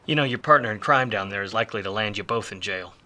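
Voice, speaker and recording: An actor playing a hardboiled, wisecracking private detective in a 1940s film noir radio play, wry and slangy. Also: male voice, on the low side, fast, pushed and bright. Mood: sly, needling.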